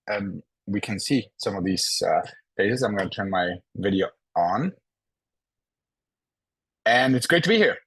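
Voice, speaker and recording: A man's voice: fairly low pitch, no room sound, rough recording. A man moderating an online panel on a video call, friendly and inviting, with frequent hesitant fillers.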